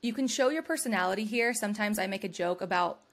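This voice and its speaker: A woman's voice: medium pitch, fast. A young adult woman from California hosting a podcast, giving advice in an upbeat, conversational way and laughing often. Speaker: podcast host. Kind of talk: casual advice chat.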